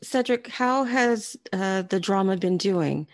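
A woman hosting a casual interview, phrasing her questions haltingly. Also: medium pitch, animated, no echo, clean studio sound. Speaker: interview host.

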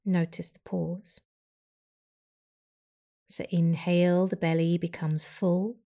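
A woman guiding a breathing meditation with slow, soft, soothing instructions and long pauses. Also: fairly low pitch, flat delivery, creaky. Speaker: meditation guide.

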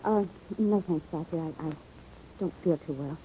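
A woman's voice: low voice, normal speed, mellow, dull audio, lightly acted. An actress playing an anxious young woman at odds with a menacing ex, in 1940s radio drama style. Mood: nervous, hesitant. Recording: old noisy band-limited radio recording.

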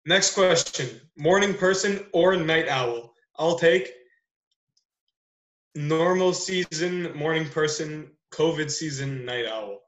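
A man's voice: a little high, animated, slow pace, a bit bright, reverberant, poor audio. A young man from Canada, hosting a casual podcast, teasing his friends and running the questions. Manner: joking, teasing, runs the agenda. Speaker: podcast host.

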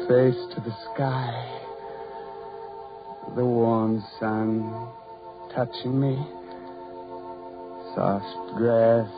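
An actor playing a tormented, lonely ugly sideshow man, brooding and dreamy in halting, broken phrases, in the style of 1940s radio horror. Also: medium pitch. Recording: muffled vintage 1940s radio recording.

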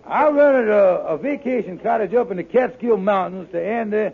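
An actor playing a wheedling, blustery middle-aged schemer in a 1950s radio comedy, gravelly and husky, with hesitant, folksy, ungrammatical speech. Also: male voice, high voice, expressive, normal speed, full character voice. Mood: wheedling, scheming. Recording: dull old radio broadcast with audience.

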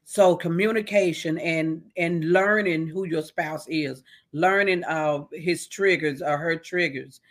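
A woman, a talk show guest, giving measured, preacher-like marriage advice point by point. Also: deep voice. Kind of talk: casual interview answers.

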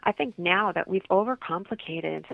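A woman's voice: on the low side, moderate pace, creaky, no room sound. A woman explaining things informally as a guest in a radio interview, heard in dull lo-fi audio.

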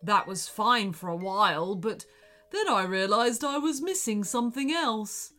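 A woman's voice: medium pitch, measured pace, bright, forceful tone, soft background music. A woman voicing a large, friendly male wolf in a children's storybook, sheepish, easily worried and chattily apologetic.

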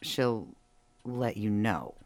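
An actress playing an incredulous, sardonic person being questioned in a comic audio drama. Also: female voice, extremely low pitch, lively intonation, extremely slow, a little mellow, dry recording, heavily acted. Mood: sardonic disbelief. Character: incredulous, sceptical interview subject facing a polygraph. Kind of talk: comedic audio drama acting.

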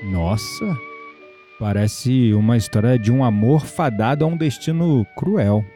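A man's voice: mid-range voice, a little slow, mellow, soft background music, natural voice. An actor playing an inquisitive, keen young male friend in an audio drama. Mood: moved.

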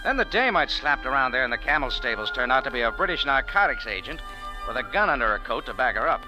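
A man's voice: medium pitch, sing-song, fast talker, close to an everyday voice, rough recording. Guy acting tough wry old-time radio detective, clipped slangy talk, lots of up and down, music behind. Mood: wry, grim. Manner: hard-boiled, clipped, sardonic slangy delivery. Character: tough, wry cafe owner turned amateur sleuth in Cairo. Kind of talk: 1940s radio detective drama acting and narration. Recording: vintage 1940s radio recording with music.

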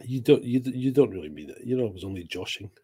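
A man's voice: mid-range voice, lively intonation, fast talker, dark tone, husky. A man co-hosting a podcast, chatting casually, laughing often and swearing freely. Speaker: podcast co-host. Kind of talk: casual chat.

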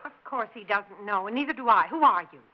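An actress playing an anxious, easily indignant suburban wife in a 1960s radio drama. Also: female voice, mid-range voice, expressive, fast, natural voice. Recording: dull 1960s radio recording.

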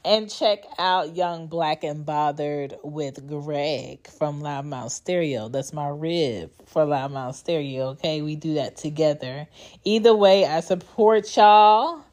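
Blunt, profane woman podcast host in her thirties, low voice, dry close sound. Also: slow, darkish tone, no room sound. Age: 30s. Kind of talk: casual monologue.

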